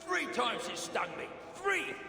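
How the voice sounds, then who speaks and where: Shrill, indignant little dwarf. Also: male voice, big pitch swings, measured pace, gravelly, bright, forceful tone, soft background music, full character voice. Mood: aggrieved. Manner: shrill, melodramatic. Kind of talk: video game character acting.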